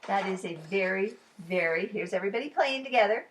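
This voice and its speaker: A woman reading a children's story aloud as storytime reader, expressive and dramatic in delivery. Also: medium pitch, noisy background. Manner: expressive, dramatic pauses, drops to whispers. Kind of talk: animated children's story narration.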